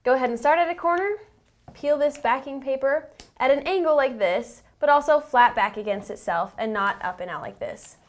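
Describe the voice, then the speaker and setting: Higher-pitched woman giving step-by-step instructions. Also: lively intonation, normal speed. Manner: patient, instructive, emphatic on key tips. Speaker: instructor and company representative in how-to videos. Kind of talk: step-by-step tutorial narration.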